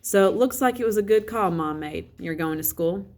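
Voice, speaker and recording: A woman voicing a gentle, affectionate, softly coaxing father in a children's storybook. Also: mid-range voice, moderate pace, natural voice. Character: gentle, affectionate, teasing father of a young boy.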